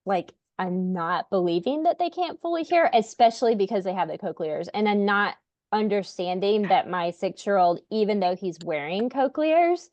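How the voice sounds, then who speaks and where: A woman's voice: medium pitch, expressive, moderate pace, a little mellow, no room sound. Earnest rambling woman, dull audio. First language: English. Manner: earnest, rambling, many "like" fillers. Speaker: podcast host, parent. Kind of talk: casual podcast conversation.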